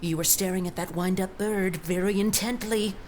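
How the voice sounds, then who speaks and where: A woman playing a pompous, excitable sprite who acts as an official observer in a family audio drama. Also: medium pitch, normal speed, slight background noise, close to an everyday voice. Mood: self-important, insistent. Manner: officious, pompous, dictating formal reports. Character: bossy, excitable female mountain sprite, self-appointed official observer.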